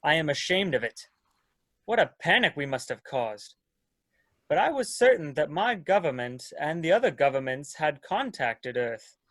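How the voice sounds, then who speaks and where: An actor playing a courtly, earnest leader of a space expedition, with a formal, old-fashioned, oratorical manner in a dramatic reading. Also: male voice, a little high, very animated, moderate pace, a bit bright, no echo, exaggerated voice. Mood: flustered, apologetic. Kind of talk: dramatic reading of science fiction.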